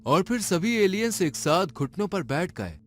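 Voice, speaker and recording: Animated male story narrator over music. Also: high-pitched, moderate pace. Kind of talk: dramatic scripted horror story narration. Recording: music behind the voice.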